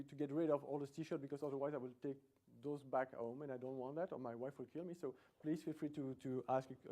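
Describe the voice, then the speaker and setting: A man's voice: fairly high pitch, warm tone. A middle-aged man from Belgium with a Belgian accent, giving a chatty, self-deprecating conference talk in a lecture room, often restarting his sentences. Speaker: conference speaker.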